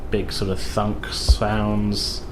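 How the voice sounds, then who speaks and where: A man narrating a tabletop game as game master, pausing and searching for words. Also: fairly low pitch, completely flat delivery, background noise. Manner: halting, searching for words as he describes scenes.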